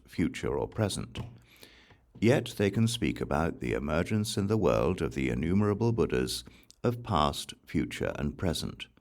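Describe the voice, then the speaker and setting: A man reading scripture as an audiobook in a measured, solemn way, phrase by deliberate phrase. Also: extremely low pitch, gravelly. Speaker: audiobook reader.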